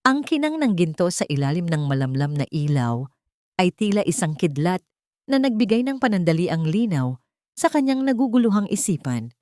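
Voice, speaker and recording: A woman tells a dramatic story in a solemn, literary way. Her voice sits on the low side for a woman. The sound is dry, with very little room around it. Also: big pitch swings, moderate pace, warm tone, no room sound. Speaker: audio story narrator. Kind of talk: dramatic scripted narration.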